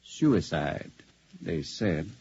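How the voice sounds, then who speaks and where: An actor playing an intelligent, sensitive addict in a sanitarium, guarded and dryly sardonic, in a muffled 1950s radio drama. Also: male voice, low voice, big pitch swings, extremely slow, a little mellow, husky, full character voice. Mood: guarded, conspiratorial. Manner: guarded, dry. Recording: muffled old radio recording.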